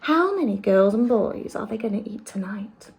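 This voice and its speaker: A woman's voice: medium pitch, expressive, moderate pace, gravelly, darkish tone, breathy edge, exaggerated voice. A woman voicing a brave young orphan girl who pleads and argues with indignation. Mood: pleading.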